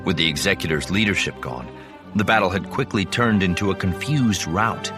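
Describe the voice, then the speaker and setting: A man's voice: deep voice, lively intonation, moderate pace, music in the background. A man reading dramatic scripted fiction as an audiobook narrator.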